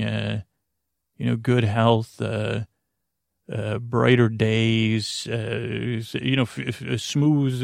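Creaky, low-key man rambling gently. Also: no room sound, studio quality. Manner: meandering, hesitant, often repeats and trails off mid-word; soothing, lulling. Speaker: host and creator of a sleep podcast. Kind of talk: rambling bedtime monologue, talking gently to the listener.

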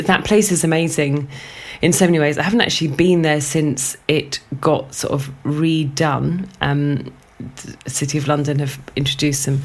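A woman guest telling a rambling personal story in casual conversation, laughing often. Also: low voice. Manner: chatty and rambling, laughs often, many fillers. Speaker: guest, writer and former lifeguard.

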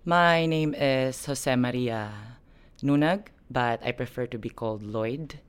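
Slow, smooth-voiced young Filipino man. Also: medium pitch. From Philippines. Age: young adult. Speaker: human rights activist. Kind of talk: earnest personal testimony.

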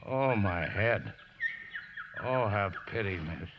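An actor playing a rough, hard-drinking, uneducated riverboat skipper who blusters and whines in folksy, ungrammatical talk, in a 1950s radio drama. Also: male voice, fairly low pitch, lively intonation, slow pace. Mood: hungover misery. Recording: noisy, dull 1950s radio recording.

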